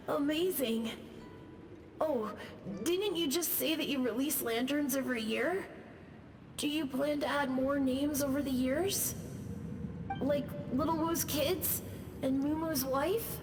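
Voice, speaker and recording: A woman voicing a gentle, wistful young girl in a video game, speaking softly. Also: high-pitched, slow, long pauses. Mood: touched, amused. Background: game music.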